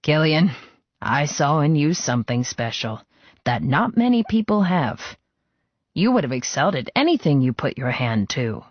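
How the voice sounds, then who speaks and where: Man voicing an emotional older biker boss, lively pitch. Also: moderate pace, dry recording, lo-fi sound. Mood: earnest, doubting. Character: older male biker club boss, fond mentor, emotional.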